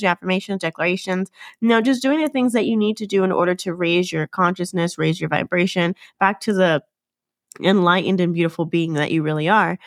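A woman's voice: on the low side, no echo, clean studio sound. A woman hosting her own podcast, talking casually to listeners, sounding nasal from a cold and often correcting herself. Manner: chatty and upbeat, fillers and self-corrections. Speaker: podcast host. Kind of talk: casual solo podcast talk.

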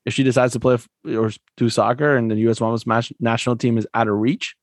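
A man co-hosting a casual sports podcast, relaxed and chatty, laughing often and filling pauses with "um". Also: mid-range voice, dry recording, studio quality. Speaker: podcast co-host.

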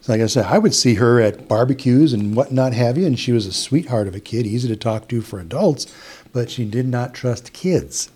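A middle-aged man with an Upper Midwest American accent, recounting a client story in a relaxed, chatty way. His voice is warm and mellow, and he keeps restarting phrases and acting out bits of dialogue. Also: mid-range voice, normal speed, slightly rough. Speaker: hypnotist guest. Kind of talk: casual storytelling in an interview.

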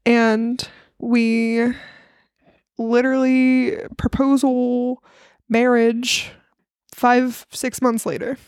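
A woman's voice: a little high, flat delivery, extremely slow, a little mellow, clean tone, dry recording, clean studio sound. A young woman from Kentucky with a Southern accent, chatting casually and rambling with lots of laughs as a podcast co-host. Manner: giggly, rambling, self-deprecating. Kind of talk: casual chat.

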